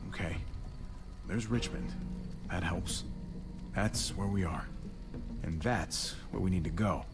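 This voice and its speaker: Steady, determined male game survivor: lowish voice, calm and earnest, music behind. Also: slow. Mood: focused, practical. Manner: calm, earnest, plain-spoken. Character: level-headed, reassuring adult male survivor, quietly determined. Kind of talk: naturalistic dramatic game acting.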